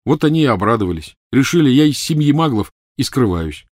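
A man's voice: medium pitch, big pitch swings, brisk, a little mellow, dry recording, studio quality, voice pushed up. A man voicing a defensive, talkative teenage boy wizard pleading his case in an audiobook reading.

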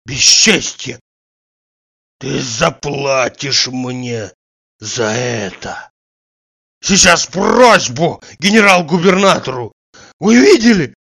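A man voicing a greedy, abusive, aggrieved bully in an audiobook, ranting crudely and shouting. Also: on the high side, animated, moderate pace. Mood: stunned outrage. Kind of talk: dramatised audiobook dialogue.

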